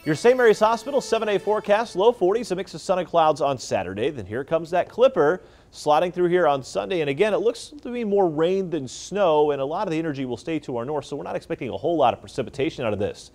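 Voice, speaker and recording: A man's voice: a little high, lively intonation, fast talker, few pauses, darkish tone, soft background music. An American man presenting a weather forecast on television in a live broadcast style.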